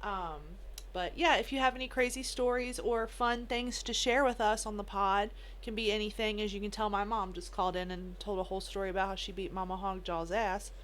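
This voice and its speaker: A woman, co-hosting a podcast in a loud, swearing, quick-to-laugh casual chat. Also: medium pitch, fairly even intonation. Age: 30s. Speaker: podcast co-host. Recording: faint background noise.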